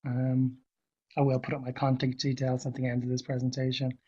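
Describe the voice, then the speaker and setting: A man with a cold presenting to a webinar, speaking in a measured way and thinking aloud with fillers and restarts. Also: medium pitch, monotone, warm tone, slightly rough. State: hoarse from a cold. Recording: dull lo-fi webinar audio.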